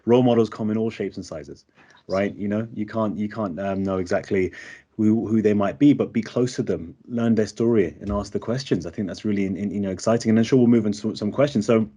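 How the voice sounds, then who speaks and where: A man hosts an online panel, thanking one speaker and introducing the next. He talks in a fairly low voice. He pauses often with "um" and "you know". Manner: warm praise, hesitant fillers. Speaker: panel host.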